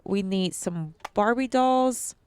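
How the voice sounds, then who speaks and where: A woman's voice: medium pitch, takes it slowly, darkish tone, clear, resonant tone, no room sound. A young adult woman hosting a let's-play, chatting casually to viewers with ums and restarts, her nose a bit stuffy. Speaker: gaming channel host.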